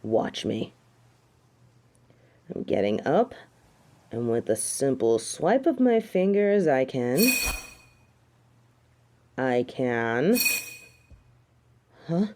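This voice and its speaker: A woman's voice: extremely low pitch, sing-song, drawn-out words, pauses often, noisy background, full character voice. A woman playing a snobbish, indignant fallen angel in a theatrical audio drama, speaking with haughty disdain. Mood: haughty, irritated. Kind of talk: dramatic audio roleplay acting.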